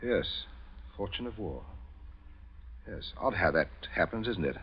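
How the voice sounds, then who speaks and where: An actor playing a coolly calculating English gentleman in an old radio suspense drama, speaking in a measured, politely threatening way. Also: low voice, expressive, breathy edge, exaggerated voice. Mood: falsely genial. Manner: measured, polite, hesitant hums, quietly threatening. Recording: dull vintage radio recording.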